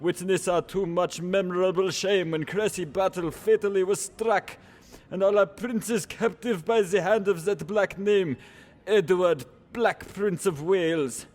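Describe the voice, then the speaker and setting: High-voiced man playing a wary king over music. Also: fairly even intonation, measured pace, a little mellow. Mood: grave, fearful. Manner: declamatory, solemn. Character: wary, regal French king. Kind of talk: Shakespearean radio theater acting.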